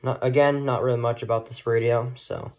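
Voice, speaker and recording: A man's voice: mid-range voice, little pitch movement. A man giving a casual, unscripted product showcase as a hobbyist, talking in a hesitant, rambling way. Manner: hesitant, halting, rambling delivery. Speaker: hobbyist vlogger showing a weather radio collection.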